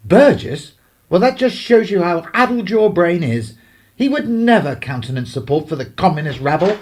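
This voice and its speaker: A man's voice: sits high, big pitch swings, moderate pace, a little hiss or hum. An actor playing a stern, domineering middle-aged English clergyman father in a radio drama, pompous and scornful. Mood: mocking.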